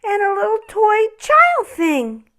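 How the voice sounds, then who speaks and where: A woman's voice: very high-pitched, wildly swooping pitch, slow, sharp tone, pitched above the performer's own voice, full character voice. A woman voicing a small, happy, curious male Christmas ornament in a children's story, with a wide-eyed, eager manner. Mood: wonder.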